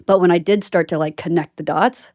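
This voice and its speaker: An American woman from Tennessee hosting a casual interview, speaking in an informal, expressive and chatty way. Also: on the low side, a little fast, dry recording, clean studio sound. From Tennessee, United States. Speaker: podcast host.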